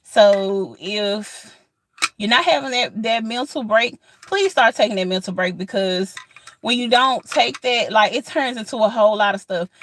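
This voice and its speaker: A woman's voice: medium pitch, a bit bright, slight background noise. A woman in her thirties chatting casually outdoors, earnest and encouraging, repeating herself with lots of fillers. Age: 30s. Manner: earnest, repetitive, encouraging, colloquial with many fillers. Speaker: vlogger. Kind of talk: casual outdoor vlog chat. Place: outdoors in sunlight.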